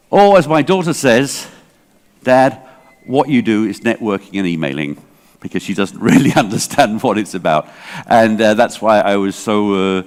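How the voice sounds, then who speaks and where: Man in his sixties, English accent, low voice, chatty rambling talk, hall reverb. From England. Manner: chatty, digressive, self-deprecating, many fillers. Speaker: library association director. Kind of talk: conference talk. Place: large hall.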